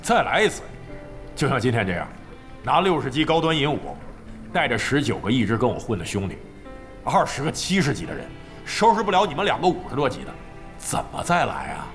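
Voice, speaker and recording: An actor in a Chinese audio drama playing a proud, washed-up older gamer with a gruff, foul-mouthed, defensive manner. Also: male voice, medium pitch, very animated, a little fast, a bit bright. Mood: bitter, resigned. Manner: blustery, cursing, defensive. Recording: background music under the dialogue.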